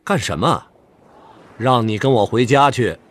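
An actor playing a brotherly but stern army officer in a Chinese radio drama, with emphatic, expressive delivery. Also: male voice, mid-range voice, unhurried. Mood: jovial, commanding. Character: hearty older army officer, brotherly yet stern male friend.

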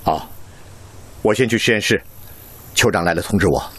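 An actor playing a courteous, earnest Chinese medical professor in a radio drama, speaking emphatically. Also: male voice, fairly low pitch, moderate pace, a bit bright, husky, no echo. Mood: concerned, brisk.

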